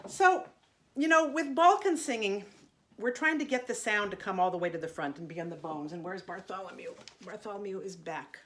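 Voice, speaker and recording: A woman teaching an online singing lesson in a gentle, chatty way, her voice a little congested from allergies. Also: medium pitch, expressive, moderate pace. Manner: gentle, chatty, demonstrating vocal sounds.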